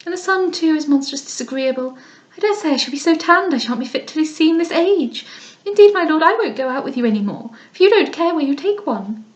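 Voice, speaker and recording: A woman reading aloud gives voice to a spoiled young noblewoman, mincing and affected, who complains in a high, fluttering voice that swoops all over. The room gives it a roomy echo. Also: animated, fairly quick. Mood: petulant, coquettish.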